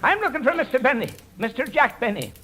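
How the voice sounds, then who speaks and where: A man's voice: extremely high pitch, very animated, quick pace. An actor playing a polite, mild, folksy policeman in an old-time radio comedy. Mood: mildly official. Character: polite, apologetic male policeman. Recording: hissy vintage radio recording.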